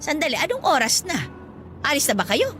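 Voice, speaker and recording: A woman playing a warm, forgetful elderly grandmother in a Filipino radio drama, exclaiming and chuckling as she fusses. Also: high-pitched, very animated, fairly quick, faint music behind. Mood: cheerful, fussing. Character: warm, teary, forgetful elderly grandmother who runs a store.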